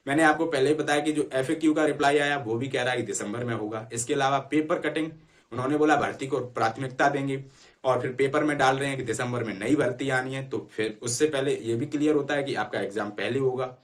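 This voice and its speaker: A man's voice: mid-range voice, fast talker. Man coaching students informally. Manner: repeatedly checks understanding with short tag phrases. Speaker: exam-prep YouTube educator. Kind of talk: informal explanatory talk to students.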